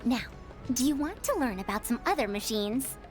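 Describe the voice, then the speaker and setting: A woman's voice: a little high, big pitch swings, normal speed, over music. A woman playing a proud, theatrical scholar and inventor in an anime-style game, lecturing children with patient self-importance.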